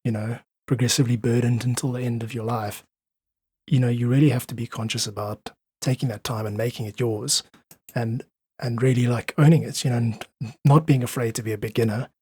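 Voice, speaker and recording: A man with a husky voice, recorded close and dry. He talks casually but reflectively, as a musician guest who leans earnestly on his points. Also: mid-range voice, fairly even intonation, brisk, darkish tone, no room sound. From South Africa. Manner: earnest, philosophical, emphatic repetition. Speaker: podcast guest, independent musician.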